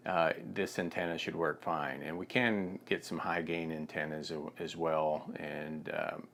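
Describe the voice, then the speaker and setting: An American man in his fifties giving a plain, halting instructional product demonstration on a lapel mic in a studio. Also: extremely low pitch, level tone, gravelly, a bit bright, a little hiss or hum. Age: 50s.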